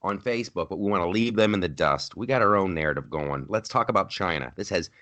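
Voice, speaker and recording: A man co-hosting a podcast, in animated political chat, often repeating himself and mocking others in put-on voices. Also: deep voice, fast. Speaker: podcast co-host.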